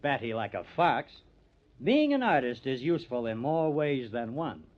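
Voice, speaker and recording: A man's voice: mid-range voice, very animated, moderate pace, natural voice. An actor playing a cunning, bitter artist who frames his rival, with theatrical, taunting intensity, in old-time radio drama style. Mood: smug, scheming. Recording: dull, lo-fi old radio recording.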